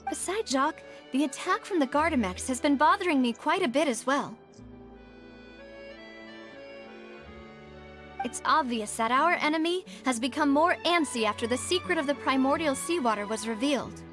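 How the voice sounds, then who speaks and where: A woman playing a poised, thoughtful young woman investigator in a video game, musing in a measured, deliberate way. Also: sits high, animated, fairly bright tone. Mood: pondering. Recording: game music behind the voice.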